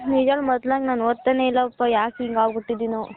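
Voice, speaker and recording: High-pitched young woman on a tinny phone line. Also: little pitch movement, fast, a little hiss or hum. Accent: Karnataka. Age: young adult. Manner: chatty, colloquial. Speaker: girlfriend on a phone call. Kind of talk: casual private phone chat. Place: phone call recording.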